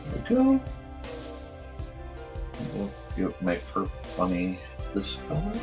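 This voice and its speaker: A man with a slightly croaky, strained voice chatting casually and goofily, half to himself, as he hosts a drawing stream. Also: low voice, wildly swooping pitch, slow pace, music in the background. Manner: goofy, rambling, half talking to himself. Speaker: cartoonist hosting a live art show. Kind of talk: casual chat while drawing.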